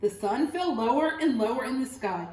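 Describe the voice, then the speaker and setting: A woman teacher reading a children's story aloud with expressive, dramatic phrasing and questions to young listeners. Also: high-pitched, moderate pace, reverberant.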